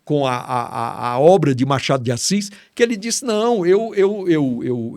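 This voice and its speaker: A man's voice: fairly high pitch, no echo, clean studio sound. A middle-aged man from Ceará, Brazil, a writer telling stories with enthusiasm and admiration as a guest in an interview. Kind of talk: storytelling in a casual interview.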